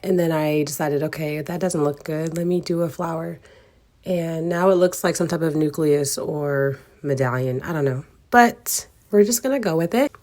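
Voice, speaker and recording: Raspy-voiced woman vlogger, low and mellow. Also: normal speed. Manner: easygoing, meandering and self-deprecating. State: raspy from a cold. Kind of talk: casual vlog narration.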